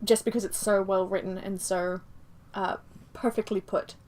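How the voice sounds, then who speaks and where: A woman's voice: mid-range voice, steady tone, moderate pace, a little mellow, slight background noise. A woman on a book podcast panel, chatting casually and enthusiastically about a novel, often laughing.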